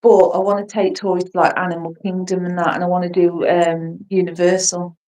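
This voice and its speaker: A woman's voice: on the low side, brisk, far from the mic. A woman in her forties in casual, rambling chat, talking eagerly and jumping between thoughts. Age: 40s. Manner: chatty, rambling, excitable.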